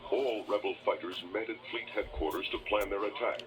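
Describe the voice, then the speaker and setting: A man's voice: fairly low pitch, fairly quick, background noise. A man giving dramatic scripted narration for a children's read-along adventure. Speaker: storybook narrator. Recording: old cassette, music behind. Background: music.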